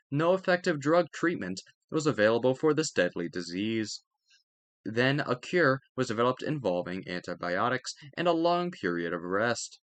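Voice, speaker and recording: A man with a medium-pitched voice reads study material aloud. The sound is dry, with no room echo. His delivery is careful and instructional, with an occasional stumble that he corrects. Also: a bit bright. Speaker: narrator reading a history textbook aloud. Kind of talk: read-aloud educational narration.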